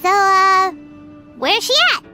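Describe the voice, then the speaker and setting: A woman voicing a tiny childlike floating fairy girl, chirpy, curious and squeaky, in an anime video game. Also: fairly even intonation, takes it slowly, heavily acted. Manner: refers to self by name. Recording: loud game music behind voice.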